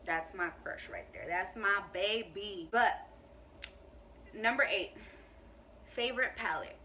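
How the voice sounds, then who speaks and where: A woman's voice: medium pitch, slow pace, pushed and bright, recorded from a distance, a little hiss or hum. A woman in her twenties chatting casually to camera in a beauty Q&A, emphatic and full of "like" and "you know.". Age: 20s. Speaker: beauty vlogger.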